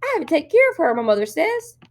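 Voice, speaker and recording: Storybook role: sweet, crafty small girl played by a woman, high voice, childishly polite and pleading. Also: sing-song. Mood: sweetly pleading. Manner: drawn-out, sugary, innocent pleading.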